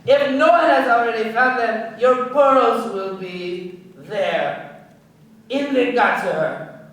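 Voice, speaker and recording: Radio play role: cornered Frenchman male actor, French accent, pleading and courteous, unhurried, very roomy sound. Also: extremely high pitch, a bit bright, exaggerated voice. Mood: desperate, pleading. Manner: formal, pleading, protesting. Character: flustered, frightened Frenchman, cornered pearl smuggler. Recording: echoey room, far from mic.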